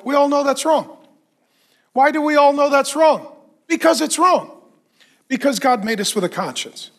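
High-voiced pastor preaching, a bit hoarse. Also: male voice. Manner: animated, conversational preaching with self-deprecating humor. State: losing his voice, clearing throat. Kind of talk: sermon. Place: church stage, indoors.

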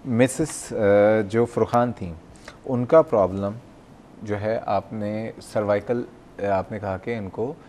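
A man's voice: lowish voice, takes it slowly, darkish tone. A man in his thirties with a Pakistani accent, chatting courteously as host of a live TV call-in show. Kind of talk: conversational live TV hosting. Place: TV studio at a desk. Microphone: lapel mic. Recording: clear background noise.

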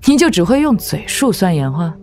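An imperious wuxia swordswoman, cold and aloof. Her voice is lowish for a woman and dark in tone, with music behind it. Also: big pitch swings, fairly quick. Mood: disdainful. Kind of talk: Chinese audio drama acting.

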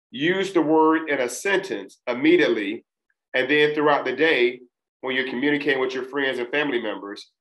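A man of Trinidadian family teaching a recorded test prep lesson, speaking deliberately and enunciating each syllable. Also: medium pitch, a bit bright. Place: small room, indoors. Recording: echoey room.

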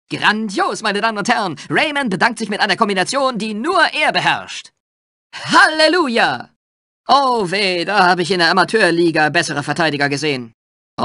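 An excitable male sports commentator from a cartoon game. He calls the match theatrically, with lively swings in pitch and a sharp, forceful tone. The recording is dry and close, and the delivery is over-the-top and exclamatory. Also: no echo, exaggerated voice. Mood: thrilled, then mock concern.

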